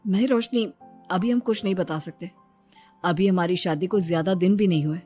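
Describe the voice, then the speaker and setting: A woman's voice: lowish voice, normal speed, mellow, soft background music, lightly acted. A woman voicing an earnest, persuasive young man, a son and husband. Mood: reassuring.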